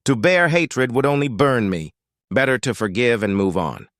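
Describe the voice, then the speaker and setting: A British man voicing a reclusive, gentle baron who speaks in a quiet, measured, wry and resigned way. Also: mid-range voice, animated, no room sound, clean studio sound. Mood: quiet, forgiving.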